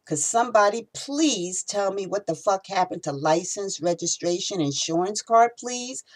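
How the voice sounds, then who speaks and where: A woman's voice: mid-range voice, expressive, moderate pace, pitched above the performer's own voice, natural voice. Woman voicing an indignant streetwise male cab driver. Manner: indignant, profane, pleading.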